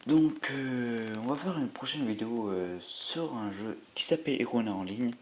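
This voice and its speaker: A man's voice: mid-range voice, normal speed, slight background noise. A man with a cold, tired after a sleepless night, giving rambling, hesitant commentary while playing a mobile game. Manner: rambling, hesitant, full of filler sounds. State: has a cold, stuffy. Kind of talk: gameplay commentary.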